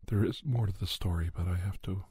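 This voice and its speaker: Male actor as grave, gentle doctor, paranormal investigator narrating a podcast tale. Husky, hushed, very dry close sound. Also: low voice, fairly quick, dry recording. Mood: hushed, regretful. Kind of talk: ASMR scripted storytelling.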